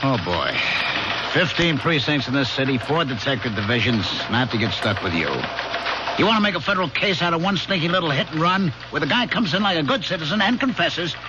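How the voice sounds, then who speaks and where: A man's voice: fairly high pitch, big pitch swings, a little fast, heavy background noise. An actor playing an impatient, weary police lieutenant who grumbles sarcastically at his partner, in an old radio drama. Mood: exasperated. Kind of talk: 1970s radio drama acting. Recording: dull lo-fi old radio broadcast.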